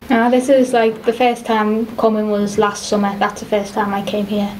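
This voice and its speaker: A woman's voice: medium pitch, monotone, normal speed, darkish tone, slight background noise, rough recording. A young woman from England talking as a soccer player guest in a plain, matter-of-fact way. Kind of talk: casual interview chat.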